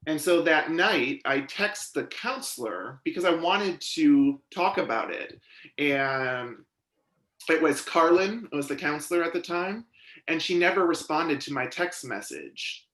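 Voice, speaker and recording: A man giving steady, measured first-person voice interpretation of a deaf witness's testimony at a legislative hearing. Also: a little high, echoey room. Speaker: sign language interpreter voicing a deaf witness. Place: hearing room.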